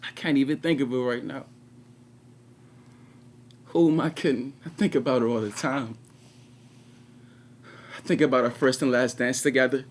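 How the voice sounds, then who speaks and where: An actor playing an anguished, regretful 21-year-old prisoner in a confessional stage monologue with dramatic pauses. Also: male voice, mid-range voice, steady tone, a little hiss or hum, close to an everyday voice. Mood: mournful. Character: anguished 21-year-old male prisoner, former track star, bitter and regretful.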